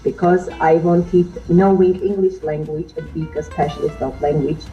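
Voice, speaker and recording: A woman in her thirties with an Armenian accent, speaking as a guest teacher on a podcast and often pausing to search for words. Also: sits low, fairly even intonation, lo-fi sound, soft background music. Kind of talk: podcast interview.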